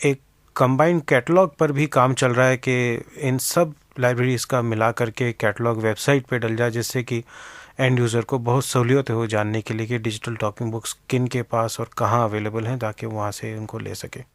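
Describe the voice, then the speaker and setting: A man's voice: mid-range voice, little pitch movement, moderate pace, no echo. A man giving explanatory interview answers as an expert guest, mixing English technical terms into his speech.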